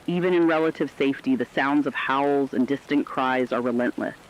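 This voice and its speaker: Grave male announcer, slightly muffled broadcast. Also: medium pitch. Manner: grave, measured. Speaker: radio announcer. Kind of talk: scripted dramatic emergency radio narration. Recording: slightly dull audio with faint rain noise.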